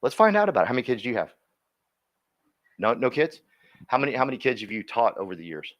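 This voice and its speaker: Guy coaching a workshop crowd, super animated voice, folksy, keeps asking the room questions. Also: mid-range voice, fast talker, highs cut off. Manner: energetic, quizzing the audience, folksy. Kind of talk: interactive seminar teaching. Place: workshop room with live audience.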